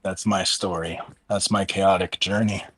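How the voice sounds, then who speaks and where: A man's voice: deep voice, fairly even intonation, unhurried, fairly bright tone, breathy edge. A man in his thirties telling his collecting story in a casual group video call, rambling and restarting often. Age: 30s. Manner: rambling, self-interrupting, enthusiastic. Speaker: collector and card seller.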